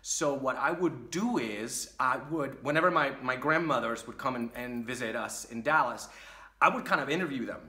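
A man in his thirties from Puerto Rico, telling a story to camera in a casual, animated way while gesturing a lot. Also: mid-range voice, normal speed, reverberant. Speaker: vlogger. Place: small dim room with curtain behind.

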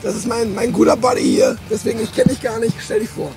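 A hoarse man in his thirties hosting a casual, joking interview on a handheld mic inside a van. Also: very high-pitched, fairly even intonation, fast talker, a little mellow, soft background music. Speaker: host.

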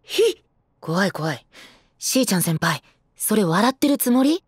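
A high-pitched, cutesy young male idol. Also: sing-song, measured pace, breathy edge, no echo, clean studio sound, heavily acted. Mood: blunt, mocking. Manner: sugary and teasing, switching to blunt. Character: cutesy young male idol with a sharp, bossy hidden side. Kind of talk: anime-style voice drama acting.

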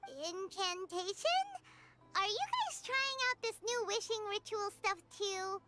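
Tiny squeaky fairy sidekick, super high and bouncy, game music loud underneath. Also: female voice, expressive, slow, heavily acted. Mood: curious. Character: small chirpy female fairy companion. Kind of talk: game dialogue. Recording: game audio with music behind.

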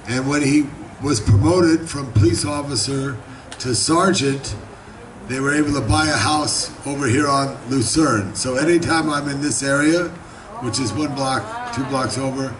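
An older man with a raspy voice giving an effusive, rambling speech at an outdoor evening party. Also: medium pitch, background noise. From Los Angeles, United States. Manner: warm, effusive, rambling with repeats. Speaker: guest speaker at a community reception. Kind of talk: informal public speech. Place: outdoor garden party at night. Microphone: handheld mic on a stand, filmed from afar. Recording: distant camera audio, crowd chatter. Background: crowd of guests.

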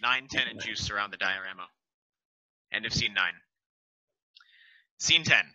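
Man reading stage directions, bright voice. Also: fairly low pitch, a little slow. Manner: plain, steady reading. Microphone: video call headset. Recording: dull lo-fi call audio.

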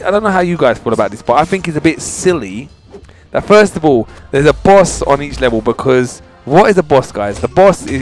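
A man with a slightly varied, mid-pitched voice gives casual gaming commentary over game music. He chats informally to his viewers and tends to repeat himself as he plays. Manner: informal, chatty, addressing viewers directly. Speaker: gaming channel host. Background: video game music.

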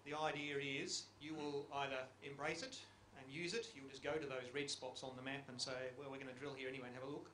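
Bright-voiced middle-aged man in echoey hall. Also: mid-range voice. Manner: reflective, puts questions to the audience. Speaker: geological survey panelist. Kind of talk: panel discussion remarks. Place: conference room. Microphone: handheld microphone. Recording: echoey conference room, faint hiss.

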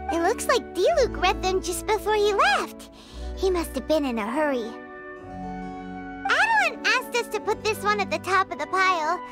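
A woman voicing a tiny, cheerful childlike fairy companion in a video game, squeaky, chirpy and naive. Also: full character voice. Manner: chirpy, naive, refers to self in third person. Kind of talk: video game character dialogue. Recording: music behind the voice. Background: game music.

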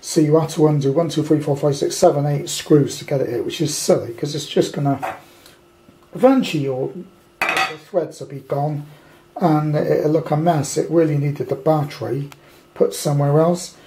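A man's voice: on the high side, moderate pace, darkish tone, a little hiss or hum, rough recording. An older British man giving a casual, unscripted show-and-tell as a hobbyist, rambling along and grumbling bluntly. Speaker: amateur radio hobbyist presenting a kit. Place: indoors at a tabletop at home.

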